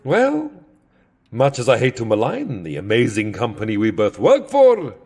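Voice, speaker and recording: A man's voice: mid-range voice, wildly swooping pitch, normal speed, darkish tone, slightly rough, exaggerated voice. A man playing a centuries-old vampire count in a comedic audio drama, fussy, sardonic and theatrically wordy. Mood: wry, chatty. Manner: theatrical, wry, fussily verbose.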